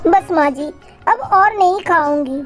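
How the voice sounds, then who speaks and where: A woman's voice: very high-pitched, a little slow, fairly bright tone. A woman voicing a dutiful young wife sparrow in a cartoon, polite and earnest. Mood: sweet, polite. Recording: faint music behind.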